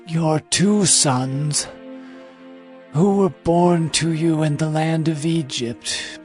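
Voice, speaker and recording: Man playing a very slow, aged dying patriarch. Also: on the high side, darkish tone. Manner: slow, halting, solemn delivery. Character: aged dying patriarch, solemn father blessing his sons. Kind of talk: dramatized scripture reading.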